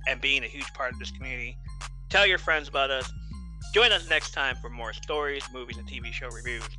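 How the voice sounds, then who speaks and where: A man hosting a casual podcast, reading listener shout-outs and the outro in an easygoing, rambling way with a soothing voice. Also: mid-range voice. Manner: easygoing, rambling, lots of fillers and laughs. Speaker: podcast host.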